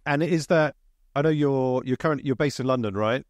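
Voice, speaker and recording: A man's voice: mid-range voice, moderate pace, no echo, studio quality. A man hosting an interview podcast, asking questions casually with stammering restarts. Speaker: podcast host.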